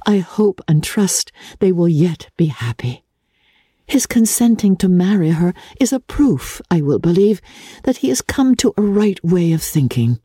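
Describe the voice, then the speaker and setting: A woman voicing a gentle, hopeful young gentlewoman in a dramatic reading of a classic novel, earnest and soothing in formal period diction. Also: fairly low pitch, animated, a little slow, breathy edge, no room sound, studio quality, voice pushed up. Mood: hopeful, reassuring.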